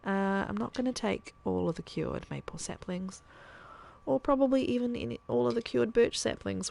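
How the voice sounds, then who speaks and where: Gravelly-voiced woman streamer chatting. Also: mid-range voice. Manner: chatty, thinking aloud, soft asides. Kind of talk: casual gameplay commentary.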